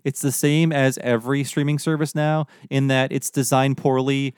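A man's voice: mid-range voice, flat delivery, normal speed, darkish tone, clean tone, dry recording, studio quality. A man hosting a podcast, giving opinionated, articulate explanations in a casual conversational way. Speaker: podcast host.

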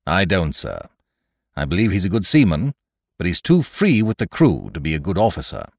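A man's voice: low voice, huge pitch swings, normal speed, gravelly, no echo, studio quality, voice pushed up, full character voice. A man voicing a stern, blunt, dutiful sea captain with a formal, firm way of talking, in a storybook reading. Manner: formal, firm, plain-spoken.

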